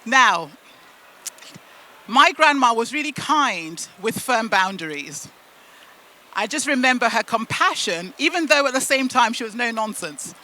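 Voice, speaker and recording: A woman from the UK and Zimbabwe, a native Shona speaker, giving a motivational storytelling talk with deliberate phrasing and emphatic pauses. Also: a little high, animated, pushed and bright. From United Kingdom and Zimbabwe. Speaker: keynote speaker at a business event.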